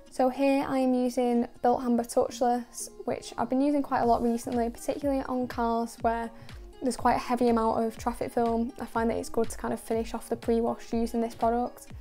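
A young woman giving a calm, measured voice-over about washing a car, with many casual fillers. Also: fairly high pitch. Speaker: car detailing channel host. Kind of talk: voice-over narration of a car wash.

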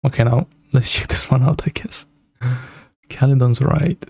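Laid-back young man, mellow and gravelly. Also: medium pitch, normal speed. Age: young adult. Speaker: reaction video host. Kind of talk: casual reaction commentary. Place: small indoor room at a computer.

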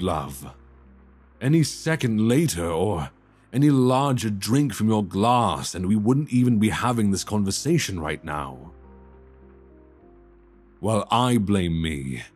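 A man acts out an anguished young prince in a romantic audio drama. His voice is husky and lowish, moving slowly and haltingly with wide swings of feeling, earnest and tender as a worried lover. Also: full character voice. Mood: tender, guilty. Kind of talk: romantic audio roleplay, ASMR-like acting.

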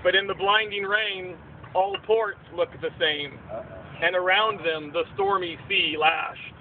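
A man's voice: sits high, fairly even intonation, a little slow. A man narrating a puppet show in dramatic, theatrical storyteller verse. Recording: tinny outdoor recording, faint noise.

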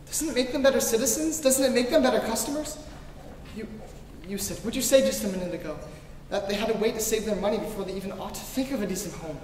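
A man's voice: high voice, fast, darkish tone, lightly acted. Man playing an earnest young idealist, echoing hall. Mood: impassioned. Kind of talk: staged old-time radio play acting. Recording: echoey hall from far off, noisy.

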